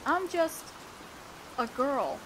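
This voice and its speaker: A woman playing an awkward, earnest teenage girl student council president in a romantic audio roleplay, halting and bashful as she talks. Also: sits high, animated, noisy background, exaggerated voice. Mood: unsure, vulnerable. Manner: halting, bashful, breaking off mid-sentence. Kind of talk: romantic audio roleplay acting, one-sided dialogue. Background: rain sounds.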